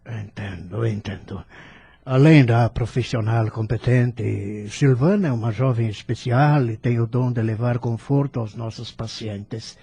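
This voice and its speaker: Kindly hospital doctor for audio novel, male actor: gravelly, husky, composed and courteous. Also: medium pitch, unhurried, dark tone, no echo, full character voice. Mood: calm sympathy. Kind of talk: audio drama acting.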